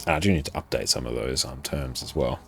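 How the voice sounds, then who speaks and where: A young Australian man with a soft, slightly British-sounding accent, chatting casually and reading chat aloud during a live game stream. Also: rumbling low, brisk, vocal fry, faint music behind. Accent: Australian, described as a softer, British-sounding one. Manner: relaxed, friendly, chatty banter. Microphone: desk streaming microphone.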